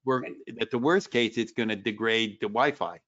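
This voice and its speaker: A man's voice: lowish voice, normal speed, fairly bright tone. Man talking tech on muffled call. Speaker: webinar co-host. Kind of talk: casual technical questioning. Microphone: video call. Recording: dull lo-fi video call.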